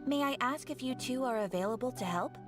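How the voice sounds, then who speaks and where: An actress playing a courteous, formal receptionist who briefs adventurers in a measured tone, over game music. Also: female voice, sits high, lively intonation. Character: formal adult woman guild receptionist. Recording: game audio with music behind.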